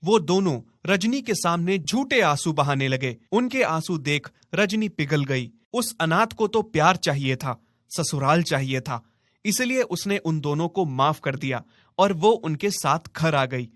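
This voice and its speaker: Dry-sounding male storyteller, fairly high voice. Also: animated, unhurried, fairly bright tone, no echo. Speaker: narrator. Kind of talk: scripted moral-story narration.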